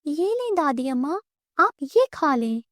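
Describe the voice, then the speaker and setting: A woman voicing a kind, self-sacrificing young orphan girl, an elder sister in a cartoon moral story, gentle, earnest and polite. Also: sits high, sing-song, a little slow, dry recording, clean studio sound, pitched above the performer's own voice, heavily acted. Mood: compassionate.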